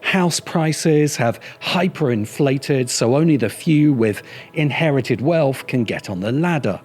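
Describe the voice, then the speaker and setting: A man reading scripted video essay narration in a measured, emphatic and wry manner. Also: mid-range voice, expressive, darkish tone. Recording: music bed under the voice. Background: background music.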